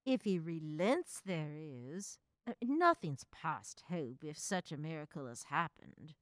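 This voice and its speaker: Anxious young wife played by a woman, slow, expressive. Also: low voice, dry recording. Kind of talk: radio drama acting.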